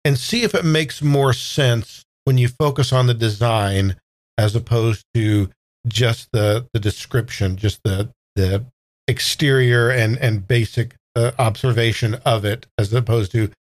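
A man playing a tabletop game, casually describing his character's actions in a deliberate, wordy, hesitant way. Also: medium pitch, dry recording.

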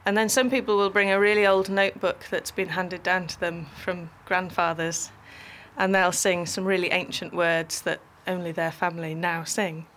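A woman vicar calmly explaining how a church carol service works, in casual conversation. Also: lowish voice, normal speed.

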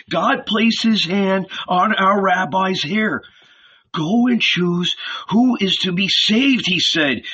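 A man voicing an excited, persuasive male shepherd disciple who urgently pleads with a friend. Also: sits high, slightly rough, voice pushed up, full character voice. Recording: dull lo-fi recording.

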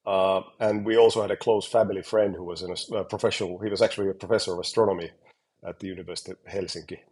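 A man from Finland with a Finnish accent tinged with American and British, talking in a relaxed interview with many fillers and restarts. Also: low voice, rapid-fire, slightly rough. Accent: Finnish, with American and British touches. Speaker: guest scientist. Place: home or office in Helsinki.

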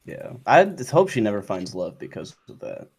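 A man's voice: lowish voice, sing-song, moderate pace, darkish tone, slightly rough. A man co-hosting a comedy podcast, teasing in a sardonic, crude manner. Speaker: podcast co-host.

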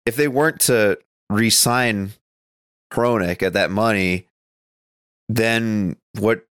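A man's voice: lowish voice, slow pace, a bit bright, clean tone, no echo. A man co-hosting a podcast, chatting casually about sports in a rambling, conversational way. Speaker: podcast co-host.

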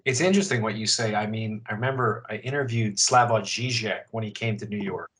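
Measured, thoughtful male interviewer. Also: on the low side, noisy background. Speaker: podcast host. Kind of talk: conversational interview.

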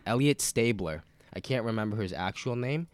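Very deep-voiced man with a nasal cold. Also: expressive, fairly quick, dry recording. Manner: loose, rambling and profane, with many fillers. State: sick with a cold. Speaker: podcast host. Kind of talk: casual rambling chat.

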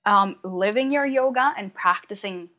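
A Norwegian-born woman who teaches yoga, speaking at a middling pitch in a thoughtful, halting way, with frequent ums as she gathers her words. The audio is a little dull and lo-fi. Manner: reflective, many ums and hesitations. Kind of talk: casual podcast conversation.

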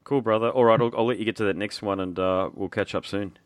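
Deep-voiced man hosting. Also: steady tone, fast talker, no echo. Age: 40s. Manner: friendly, chatty, says mate and man a lot. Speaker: podcast host. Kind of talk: casual interview chat.

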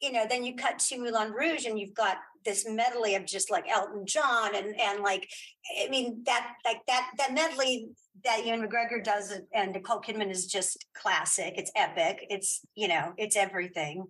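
Middle-aged woman, casual interview guest, opinionated, conversational, halting repeated phrasing, medium pitch. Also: fairly bright tone, slightly rough, low-quality recording. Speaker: guest, playwright and audio drama creator.

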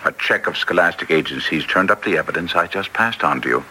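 Commanding, sharp English detective in vintage radio. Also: male voice, low voice, lively intonation, quick pace, full character voice. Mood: grave, confident. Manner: theatrical, clipped, commanding. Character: brisk, masterful, sharp-witted male English detective. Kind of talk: classic radio drama acting. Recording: noisy vintage radio recording.

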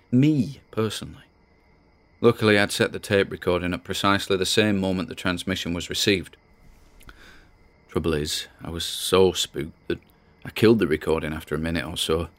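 An actor playing a weary, spooked man obsessed with a mystery, rambling and muttering through his audio diary. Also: low voice. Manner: rambling, muttering, halting, self-questioning. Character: obsessive, spooked, weary amateur male investigator recording audio logs. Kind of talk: audio diary drama monologue.

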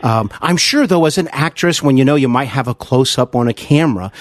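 An American man hosting an interview podcast, asking questions in a polite, conversational, unhurried manner. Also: medium pitch, expressive, dry recording, clean studio sound. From United States.

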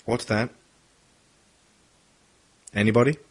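Man playing audio drama agency chief, low husky voice, sardonic, bossy, teasing. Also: steady tone, slow. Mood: brisk, commanding. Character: commanding male intelligence chief, brash and teasing. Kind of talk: hobby audio drama acting.

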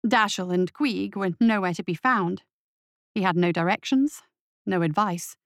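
A woman narrates fiction in a dramatic, scripted audiobook style. Her voice sits at a medium pitch, and the sound is close and dry with no room around it. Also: a little slow, fairly bright tone, no echo.

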